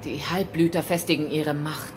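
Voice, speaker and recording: A woman's voice: low voice, fairly even intonation, moderate pace, soft background music, lightly acted. A woman playing a resolute, grave rebel leader, speaking formally and earnestly in a dramatic video game audio play. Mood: grave, accusing.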